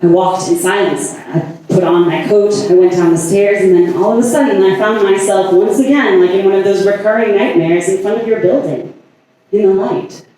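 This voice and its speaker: A man performing a staged monologue as a lovelorn woman haunted by obsessive passion, halting and deliberate with long pauses. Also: high voice, warm tone, close to an everyday voice. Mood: haunted, confessional. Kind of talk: staged dramatic monologue reading. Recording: echoey, distant stage recording.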